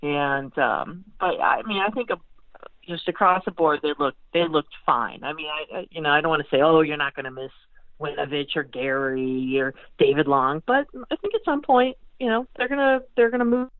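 A woman's voice: low voice, lively intonation, no room sound. Woman sports analyst on a tinny phone line. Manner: halting, with frequent repeated words. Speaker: newspaper beat writer, guest analyst. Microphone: phone call.

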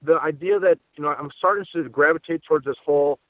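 A man's voice: a little high, fast, no room sound. A man giving conversational sports analysis as a guest, talkative and repeating words as his thoughts form. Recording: tinny phone-line audio.

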